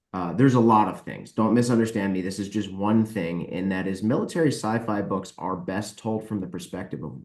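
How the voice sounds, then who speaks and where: A man's voice: lowish voice, darkish tone. A man giving blunt, explanatory answers in a casual interview as a podcast guest, recorded in an echoey room. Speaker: guest, book publisher.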